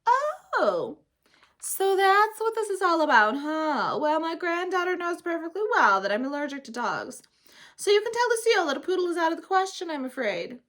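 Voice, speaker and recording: A woman's voice: very high-pitched, big pitch swings, moderate pace, a bit bright, voice pushed up, exaggerated voice. A woman voicing a prim older grandmother who speaks properly and a bit snappishly. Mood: firm.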